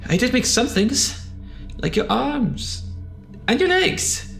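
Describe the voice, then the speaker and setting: A man's voice: very high-pitched, very animated, slow pace, vocal fry, fairly bright tone, soft background music, full character voice. A man playing an anxious, defensive scientist in an audio drama, hesitant and stammering as he pleads. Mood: pleading, defensive. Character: anxious, defensive male scientist pleading his good intentions.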